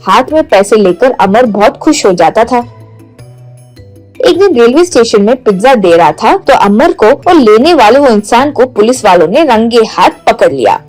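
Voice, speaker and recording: A woman's voice: on the high side, very animated, moderate pace, darkish tone. A woman reading scripted story narration. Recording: music bed under the voice.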